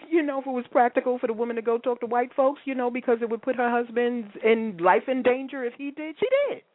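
A woman's voice: fairly high pitch, steady tone, fast talker, dry recording. A woman hosting a spiritual call-in radio show, who talks in a reflective, teacherly way with restarts. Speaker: host. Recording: phone-line call-in audio.

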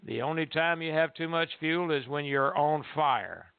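A man with a creaky voice speaks on an internet radio show as its producer and co-host. His delivery is halting, moving between casual talk and reading aloud. The sound is narrow and phone-like, with very little room echo. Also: a little high.

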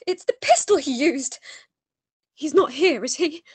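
Woman playing a high-pitched damsel in distress. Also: lively intonation, a little slow, darkish tone, no room sound. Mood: distressed, anxious. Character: anxious, pleading, innocent gentlewoman in distress. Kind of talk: melodramatic radio play acting.

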